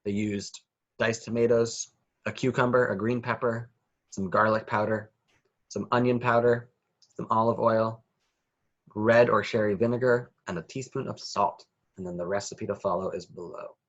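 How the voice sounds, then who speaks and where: A man's voice: on the low side, fairly even intonation, moderate pace, dull audio. A man reading a children's picture book aloud with rhythmic, sing-song phrasing. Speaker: children's story reader.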